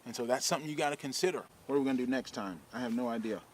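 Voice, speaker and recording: A man, speaking casually outdoors under a shade tree into a camera-mounted shotgun mic, explaining fishing-video gear in a relaxed, rambling way. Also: mid-range voice, very fast, slight background noise. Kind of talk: casual gear advice vlog.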